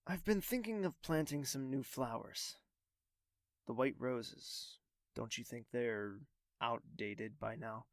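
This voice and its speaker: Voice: medium pitch, slow, dry recording, studio quality, close to an everyday voice. An actor playing a young prince in an audio drama, refined, distracted and hesitant, musing aloud. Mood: tentative. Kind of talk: scripted audio drama acting.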